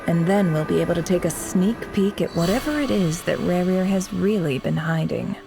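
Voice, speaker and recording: A woman voicing a shrewd, composed investigator in a video game, measured and analytical as she muses. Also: lowish voice, vocal fry. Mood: sly, confident. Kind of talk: scripted game dialogue. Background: faint game music.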